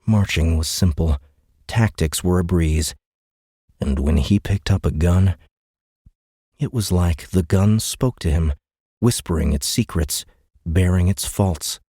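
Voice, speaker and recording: A man's voice: sits low, slow, long pauses, mellow, slightly rough, no echo, clean studio sound. A man reading a science fiction audiobook in scripted dramatic narration.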